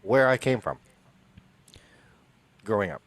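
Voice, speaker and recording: Low-voiced guy, filipino, 40s, rambles and restarts a lot on a chill podcast. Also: animated, takes it slowly, dry recording. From Philippines. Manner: rambling, restarts, laughs often. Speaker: podcast co-host. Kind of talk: casual podcast chat.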